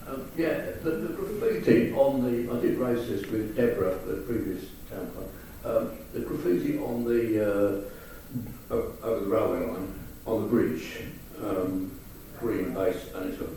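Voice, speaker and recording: An English man from the audience raising a local complaint, halting and full of fillers. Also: on the low side, mellow, slight background noise. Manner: halting, many fillers and restarts. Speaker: resident asking a question. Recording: echoey hall, distant mic.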